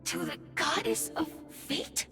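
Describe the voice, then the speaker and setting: A woman voicing a timid young dragon in a video game, gentle and childlike with a creaky voice. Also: slow, lightly acted. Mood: hesitant, hopeful. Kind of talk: video game character acting. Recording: long reverb with music behind.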